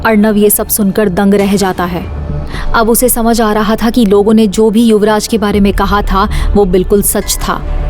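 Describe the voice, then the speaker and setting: A woman's voice: medium pitch, darkish tone. A woman reading scripted suspense narration for an audio drama series.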